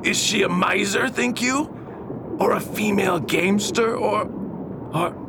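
Man acting a grandiose, obsessed old Victorian alchemist, slow-spoken. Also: high voice, vocal fry, noisy background. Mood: bitter, indignant. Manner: grandiose, declamatory old-fashioned speech. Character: obsessed older male Victorian alchemist, proud, embittered father. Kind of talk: theatrical radio drama acting.

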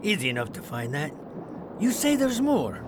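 Against clear background noise comes a wily, seasoned pirate, acted by a man for an audio drama. He talks in rough, colloquial sailor dialect with a swagger. His pitch swings widely from line to line. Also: huge pitch swings, normal speed. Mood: wary, bargaining. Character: rough, wily veteran pirate, Captain's trusted old hand.

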